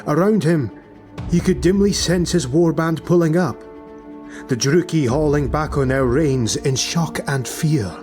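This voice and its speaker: Slow, dramatic man narrating fantasy. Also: a little high, darkish tone. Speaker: audiobook narrator. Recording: music behind the voice. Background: music.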